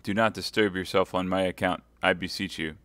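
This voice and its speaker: Male reader as a solemn, upright soldier; low, measured, dry. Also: steady tone, a bit bright, no room sound. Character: serious devout young soldier, grave and upright.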